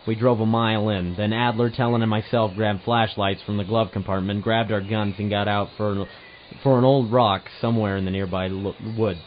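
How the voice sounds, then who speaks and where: A man's voice: fairly low pitch, close to an everyday voice. A man playing a hard-boiled, world-weary private eye in an audio drama, narrating in a wry noir style. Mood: tense, matter-of-fact. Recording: dull lo-fi home recording, background hiss.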